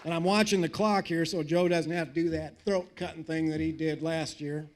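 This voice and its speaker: An older man speaks high and fervent from a rally stage, preacherly and emphatic. He throws in dry, joking asides between the serious lines, the rhythm of a seasoned speaker working a crowd. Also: fairly even intonation, moderate pace, a little hiss or hum. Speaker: guest speaker at a political rally. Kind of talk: fiery patriotic rally speech. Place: live event in front of an audience.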